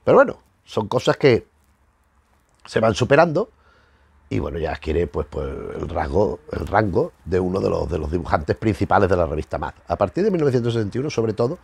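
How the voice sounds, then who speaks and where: A man's voice: on the low side, very animated, brisk, gravelly, a little mellow, breathy edge, no room sound. A middle-aged man with a Spain Spanish accent, explaining informally to camera in a rambling, conversational way, often circling back to rephrase. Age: middle-aged, 50s. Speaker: host of a retro comics channel. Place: small indoor room lined with bookshelves.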